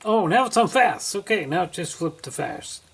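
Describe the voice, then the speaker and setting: A man's voice: high voice, lively intonation, normal speed, a bit bright. A man giving a casual, unscripted hands-on product review, thinking aloud as he works indoors at home. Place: inside a house, under a kitchen-type cupboard. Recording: clear radio static and background hiss.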